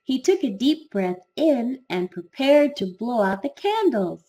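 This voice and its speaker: A woman narrates a children's fairy tale in a high, very animated voice. She takes her time, reading slowly and deliberately, and leans on key words for dramatic effect. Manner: expressive, deliberate storytelling with dramatic emphasis. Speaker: storybook narrator. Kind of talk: scripted children's story narration.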